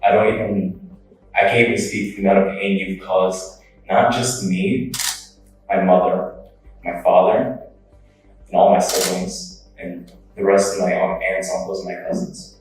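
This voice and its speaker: Slow, flat-voiced grieving man in court. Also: deep voice. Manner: slow, flat and measured, bitter. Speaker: victim's brother giving a court statement. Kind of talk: victim impact statement in court. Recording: echoey courtroom from a distance, music underneath.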